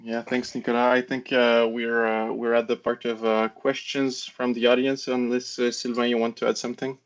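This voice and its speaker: A man answering questions in a webinar, measured, with light fillers. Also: medium pitch, level tone. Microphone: video call.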